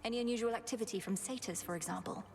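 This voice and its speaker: An actress playing a determined young princess and sister in a video game, earnest, formal and urgent. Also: female voice, fairly high pitch, very fast, darkish tone, lightly acted. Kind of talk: video game dialogue acting.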